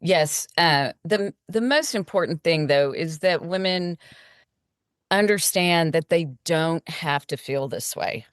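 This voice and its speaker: A woman's voice: low voice, takes it slowly, smooth, no echo, studio quality. A middle-aged woman gynecologist answering interview questions in a confident, explanatory way. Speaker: gynecologist guest.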